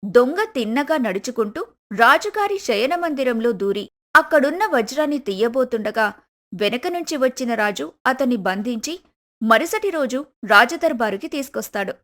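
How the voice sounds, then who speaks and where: A woman's voice: fairly high pitch, lively intonation, moderate pace, a bit bright. A woman reading scripted story narration as a storyteller.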